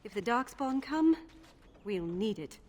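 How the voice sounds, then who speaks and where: Resigned, wary servant woman, slightly high-pitched. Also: very animated, unhurried, a little mellow. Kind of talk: fantasy video game acting.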